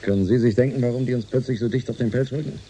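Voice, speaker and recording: Male actor as gruff space captain, very dark tone, rapid, brusque, commanding, radio drama. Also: mid-range voice, a little hiss or hum, natural voice, poor audio. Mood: brusque, impatient. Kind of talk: German radio drama acting.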